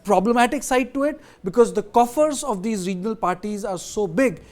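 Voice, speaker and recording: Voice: mid-range voice, a little fast, a little mellow. Indian accent pundit explaining politics in punchy points, emphatic and conversational. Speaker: political analyst guest.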